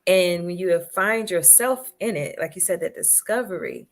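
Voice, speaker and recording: Woman host, encouraging, affirming, slightly low voice. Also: lively intonation, moderate pace. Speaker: podcast host. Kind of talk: casual interview chat.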